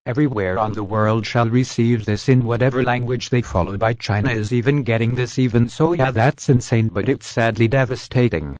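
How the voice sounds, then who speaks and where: A man's voice: mid-range voice, fairly quick, hardly any pauses, a little mellow, no room sound. A man reading a scripted emergency broadcast in a solemn manner. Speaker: emergency broadcast announcer in a fictional scenario. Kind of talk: scripted emergency alert narration. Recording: dull, muffled lo-fi audio.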